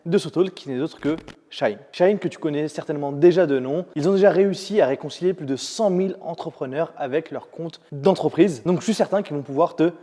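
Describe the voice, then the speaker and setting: A man's voice: on the high side, fairly quick, mellow, in a large hall. A man hosting an event, reading an upbeat, salesy sponsor message straight to the viewer. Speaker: event host. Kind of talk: sponsor read before a talk.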